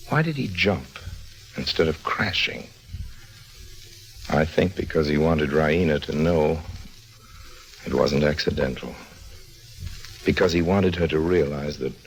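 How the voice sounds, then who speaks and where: A world-weary radio noir adventurer, with an extremely low voice and a gravelly edge. He speaks deliberately, wary yet defiant, in an old 1950s radio recording with faint music behind. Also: heavily acted, poor audio. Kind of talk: 1950s radio drama acting, noir narration.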